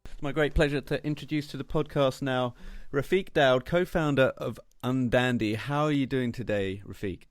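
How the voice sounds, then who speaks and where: A man's voice: mid-range voice, normal speed, no echo, soft background music. A man hosting a podcast in a chatty, tongue-in-cheek way as he presents and interviews.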